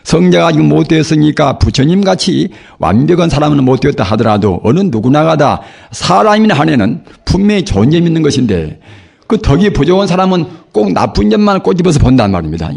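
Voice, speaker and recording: An older man, a Buddhist monk, giving a sermon in a hoarse voice from a cold, preaching slowly and earnestly with rhetorical questions. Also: on the high side, very animated, a little mellow. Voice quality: gravelly, husky. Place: temple dharma hall.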